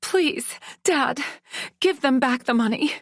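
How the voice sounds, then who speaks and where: A woman's voice: slow pace, no echo, studio quality. A woman voicing a distraught young woman who pleads tearfully and screeches at her father. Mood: pleading. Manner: desperate, tearful, shrill.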